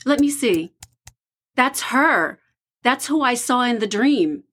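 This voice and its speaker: A woman acting a worried, hesitant young woman in an audio horror drama, with a subdued, husky and breathy delivery. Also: fairly high pitch, animated, takes it slowly, a bit bright, full character voice. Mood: uneasy.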